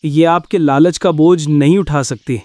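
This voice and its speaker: अभिनेता बना परेशान, ईमानदार गरीब आदमी, ऊँचा सुर. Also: male voice, a little high, steady tone, measured pace, darkish tone, no echo, lightly acted. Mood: earnest appeal. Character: earnest, honest poor male eatery owner. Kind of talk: Hindi animated moral story acting.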